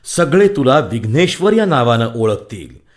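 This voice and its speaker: A man's voice: medium pitch, sing-song, a little slow. A man voicing a mighty, wrathful god in a children's mythological cartoon, speaking with commanding, dramatic delivery. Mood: solemn.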